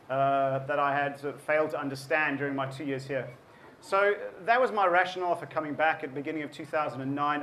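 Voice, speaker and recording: A South African man in his forties speaks at a book event, a fairly high voice for a man. He talks in a thoughtful way, with many ums and restarts as he gathers his thoughts. A faint hiss of the room sits under him. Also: fairly bright tone. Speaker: author giving a book talk. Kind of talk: public talk to an audience.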